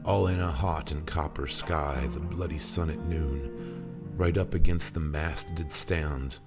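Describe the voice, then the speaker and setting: A man's voice: extremely low pitch, fairly even intonation, unhurried. A man giving a theatrical, brooding and emphatic dramatic recitation of poetry. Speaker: poetry reader and performer. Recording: music under the voice. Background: guitar music.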